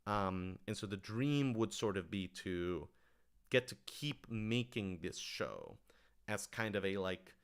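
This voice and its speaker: A man's voice: on the low side, sing-song, slow, clear, resonant tone. A man speaking casually and earnestly on a question-and-answer livestream, enthusiastic and given to rambling asides. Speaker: writer-director answering audience questions.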